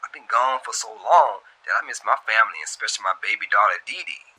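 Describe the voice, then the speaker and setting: A man's voice: lowish voice, fast, sharp tone, husky, voice pushed up, exaggerated voice, low-quality recording. A man voicing a laid-back, teasing young man in love, with easygoing colloquial talk in an audio story. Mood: wistful. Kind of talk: acted dialogue in a narrated story.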